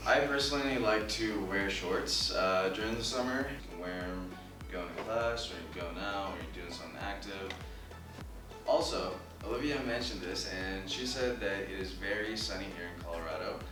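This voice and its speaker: A man's voice: low-pitched, normal speed. A young man from Syria, an international student, casually giving packing advice to viewers in a friendly way. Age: young adult. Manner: friendly, advising, addresses viewers as guys. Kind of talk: casual informational video. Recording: roomy, mic at a distance, music underneath.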